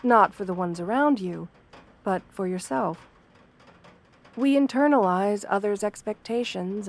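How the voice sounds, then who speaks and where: A woman plays a kind wolf-girl therapist in an audio roleplay. Her voice is soft and mid-pitched, with a measured, soothing delivery. She sounds professional and reassuring, guiding a patient gently. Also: close to an everyday voice. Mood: caring, encouraging. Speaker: therapist character speaking to a patient. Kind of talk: ASMR roleplay, guided affirmations.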